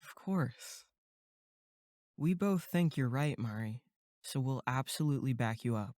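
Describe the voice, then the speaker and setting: Woman voicing a low, laid-back young guy. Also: lively intonation, unhurried, warm tone, no room sound, clean studio sound. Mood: reassuring. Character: laid-back, protective, dry-humored young man camp counselor. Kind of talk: horror audio drama acting.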